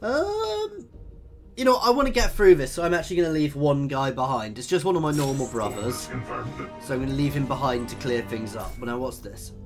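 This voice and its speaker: A man in his thirties commentating a strategy game, husky and tired from a cold, chatty and thinking aloud with lots of ums. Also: medium pitch, animated, fairly quick. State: hoarse with a cold. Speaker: gaming video host. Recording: voice over game music.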